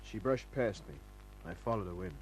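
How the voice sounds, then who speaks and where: An actor playing a cool, wary private detective in an old-time radio crime drama, speaking in a terse, wry, clipped way. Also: male voice, fairly low pitch, moderate pace, background noise, natural voice. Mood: wry, narrating. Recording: old radio recording with faint music.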